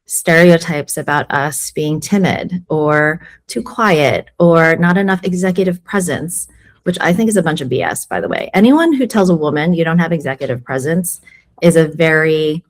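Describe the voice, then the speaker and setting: A woman's voice: low-pitched, moderate pace. A woman in an interview, telling her own story frankly and acting out what people said to her. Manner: frank, animated storytelling with acted-out quotes. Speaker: sales executive guest. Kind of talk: candid interview conversation.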